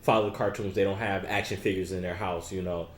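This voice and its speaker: A man's voice: low-pitched, fairly even intonation, quick pace, slight background noise. A man co-hosting a casual podcast chat, laying out his view in a laid-back, explanatory way. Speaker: podcast co-host. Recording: echoey room.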